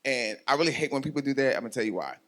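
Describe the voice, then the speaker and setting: A man's voice: medium pitch, lively intonation, racing, a bit bright. Rambling man in his forties hosting. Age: 40s. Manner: rambling, many ums, laughs often. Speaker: talk show host. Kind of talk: casual chat interview.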